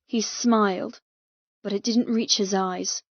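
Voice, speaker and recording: A woman's voice: medium pitch, steady tone, takes it slowly, no room sound, lo-fi sound. Woman narrating dramatic fiction, very dry sound. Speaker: audiobook narrator. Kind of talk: dramatic scripted first-person narration.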